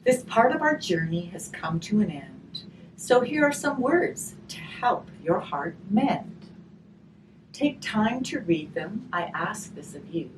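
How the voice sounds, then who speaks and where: A woman speaking softly and reflectively in an unhurried way, as if leading a gentle group session and storytime. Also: mid-range voice, very animated. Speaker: hospice volunteer leading a grief session. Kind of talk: gentle spoken session with storybook read-aloud. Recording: distant microphone, clearly noisy room ambience.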